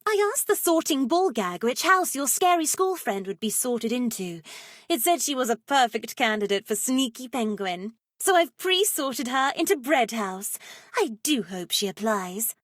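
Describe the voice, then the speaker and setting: A woman's voice: on the high side, sing-song, normal speed, dry recording, studio quality. A woman voicing a seductive, wicked witch for a video game, with a sultry, teasing, theatrical delivery. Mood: amused, mischievous. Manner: sultry, teasing, theatrical, slips into breathy whispers. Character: seductive, wicked adult witch, playful dark sorceress. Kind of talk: video game character voice lines.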